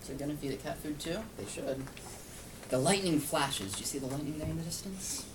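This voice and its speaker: A man's voice: on the high side, brisk. A man reading a picture book aloud to a young child, explaining and asking simple questions as he goes. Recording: distant mic, clear background noise.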